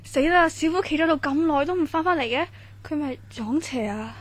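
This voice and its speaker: A woman playing an anxious, excitable young student in a Cantonese radio drama, with a Hong Kong accent and an urgent, fretful manner. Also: high-pitched, brisk. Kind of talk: radio horror drama acting. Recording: clearly noisy background ambience.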